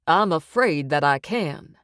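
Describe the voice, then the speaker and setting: A woman's voice: sits low, animated, slow pace, fairly bright tone, no echo, clean studio sound, full character voice. A woman voicing a smug, menacing male mob boss who talks with amused, sing-song menace.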